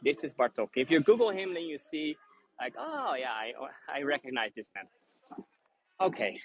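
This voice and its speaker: A man's voice: fairly high pitch, big pitch swings, normal speed, muffled recording. A man with a Dutch accent, whose first language is Dutch, guiding a live walking tour outdoors on busy city streets, chatty and friendly with many fillers and restarts. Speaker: tour guide.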